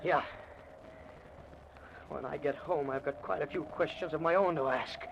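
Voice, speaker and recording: A man's voice: fairly high pitch, normal speed, gravelly. A man playing a wary, dry intended victim in an old-time radio thriller, speaking in clipped, wry phrases. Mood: wry, shaken. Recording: dull, noisy vintage radio recording.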